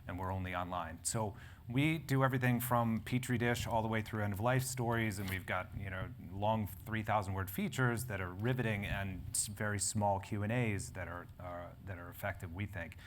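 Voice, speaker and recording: Low-voiced man moderating a live panel. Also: normal speed, a little hiss or hum. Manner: rambling, self-correcting, frequent fillers. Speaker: panel moderator and editor of a health publication. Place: conference event in front of an audience.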